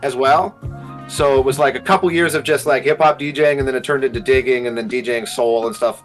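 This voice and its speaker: A man's voice: mid-range voice, fairly even intonation, fast talker, faint music behind. A man, chatting casually and slangily as an interview guest, often saying "like". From Halifax, Canada. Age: 40s. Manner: slangy, frequent 'like' and 'you know what I mean'. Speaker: guest, hip hop producer and DJ.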